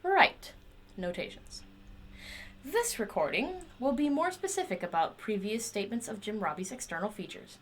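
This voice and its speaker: A woman's voice: mid-range voice, slight background noise, natural voice. A woman playing an eccentric, obsessive inventor in an audio drama, proud and volatile, speaking in formal, clinical research notes. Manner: formal clinical diction breaking into pleading outbursts. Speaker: voice actor.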